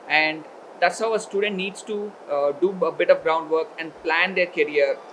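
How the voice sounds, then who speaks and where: Man, high voice, formal event speaker, hesitant delivery, faint background hiss. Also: a bit bright, poor audio. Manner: hesitant, many filler pauses. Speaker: education consultancy representative. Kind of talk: formal welcome speech at a college event.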